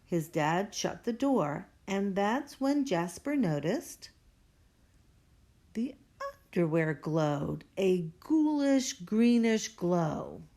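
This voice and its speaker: A woman's voice: mid-range voice, big pitch swings, very slow, dark tone. A woman reading a children's storybook aloud expressively, stretching out the spooky words for dramatic effect. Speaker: storybook reader.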